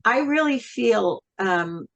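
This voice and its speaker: A woman talking reflectively as an interview guest, thoughtful and measured, with frequent pauses. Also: a little high, lively intonation, vocal fry, fairly bright tone. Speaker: interview guest, singer and writer.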